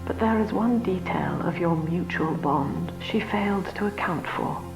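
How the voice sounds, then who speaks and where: An actress playing a cold, cerebral middle-aged cult leader and mother in a video game, speaking in measured, solemn, precise oratory. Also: lowish voice, vocal fry, music in the background, rough recording. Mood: bitter. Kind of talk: dramatic video game monologue.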